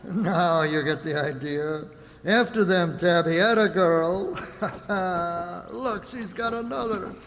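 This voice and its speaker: A man's voice: sits high, takes it slowly, a bit bright, exaggerated voice. A man playing a jovial merchant sea captain and doting father in a children's radio play, exclamatory and genial. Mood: excited. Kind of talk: old-time children's radio play acting. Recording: reverberant hall with clear background noise.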